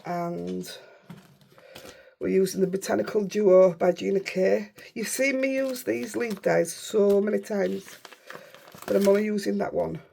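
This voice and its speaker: A middle-aged woman with a Yorkshire accent, hoarse and unwell, chatting through a casual crafting tutorial in a croaky voice and rambling as she goes. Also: medium pitch, unhurried, warm tone, slight background noise. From Yorkshire, England. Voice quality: croaky, rough voice. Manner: chatty, rambling, self-interrupting. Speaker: craft channel host.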